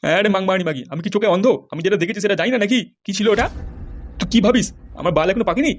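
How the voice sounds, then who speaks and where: A man's voice: high-pitched, animated, racing, a little hiss or hum. A man voicing a furious, foul-mouthed politician husband in a comedy cartoon, scolding and accusing. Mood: angry, accusing.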